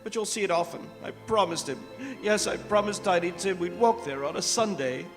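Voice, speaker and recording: Grieving father, played by a man, high gentle voice, halting and sorrowful, reverberant hall with music. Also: close to an everyday voice. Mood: grieving, tender. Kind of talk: stage radio drama acting.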